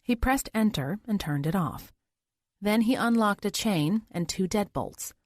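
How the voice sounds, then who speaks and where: A woman's voice: mid-range voice, animated, measured pace, dry recording, clean studio sound. A woman reading scripted thriller narration as an audiobook narrator.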